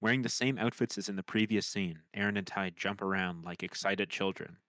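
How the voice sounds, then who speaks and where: A man's voice: fairly low pitch, fairly quick, bright, forceful tone, dry recording. A man reading screenplay stage directions as scripted narration.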